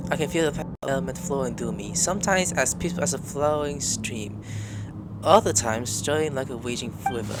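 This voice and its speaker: A woman doing playthrough commentary on a story game, reading dialogue aloud and reacting in a playful, expressive way. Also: sits low. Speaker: gamer playing through a story game.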